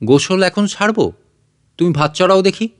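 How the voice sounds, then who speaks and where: A man's voice: sits high, expressive, normal speed, pitched above the performer's own voice. A man playing a cheerful, affectionate father in an audiobook story, calling out heartily. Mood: eager. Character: cheerful excited poor father home with a big fish. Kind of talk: audiobook character acting.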